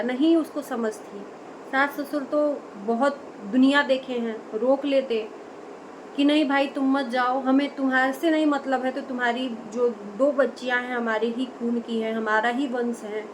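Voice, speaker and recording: High-pitched woman giving emphatic commentary, noisy recording. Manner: emphatic, full of rhetorical questions. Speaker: online commentator. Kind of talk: opinionated vlog commentary on a family dispute. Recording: noticeable background noise.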